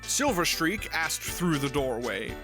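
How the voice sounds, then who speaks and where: A woman's voice: deep voice, big pitch swings, slow pace, music in the background. A woman reading scripted storybook narration as the narrator.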